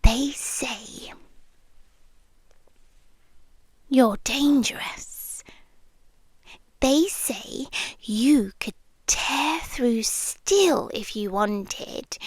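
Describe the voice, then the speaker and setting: Woman acting a slow, high-voiced British yandere girlfriend. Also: no echo, exaggerated voice. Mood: hushed menace. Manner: slow, teasing, often dropping into breathy whispers. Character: possessive yandere girlfriend, playful, sweetly menacing captor. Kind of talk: ASMR roleplay, intimate and slow.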